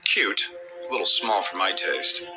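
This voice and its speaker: A man's voice: deep voice, lively intonation, measured pace, over music. An actor playing a smug, grandiose criminal mastermind in a video game, theatrical and condescending, savoring his words. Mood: smug taunting. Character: smug, grandiose male criminal mastermind with world-conquering plans. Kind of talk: video game villain acting.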